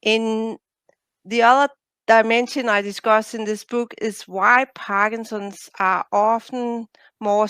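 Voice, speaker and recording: A woman's voice: on the high side, a bit bright, no echo. A woman from Denmark with a Danish accent, speaking English as her second language, answering interview questions carefully as an author and coach.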